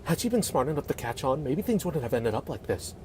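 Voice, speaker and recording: An actor playing a remorseful but determined young male leader in an amateur script read, apologetic yet steady. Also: mid-range voice, fast, close to an everyday voice. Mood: somber, cold. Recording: faintly noisy home microphone recording.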